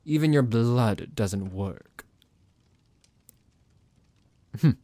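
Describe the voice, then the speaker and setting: A man's voice: medium pitch, animated, slow, dark tone, a little hiss or hum. A man playing a smug, psychotic vampire doctor in an ASMR roleplay, condescending, theatrical and menacing toward his captive.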